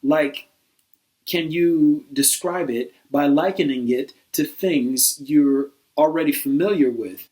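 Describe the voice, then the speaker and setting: Guy playing a reasonable skeptic in a satire skit, kinda high male voice, measured, articulate, keeps probing. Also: darkish tone. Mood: patient, pointed. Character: calm, reasoning skeptic who questions patiently and pointedly. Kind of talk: scripted satirical dialogue.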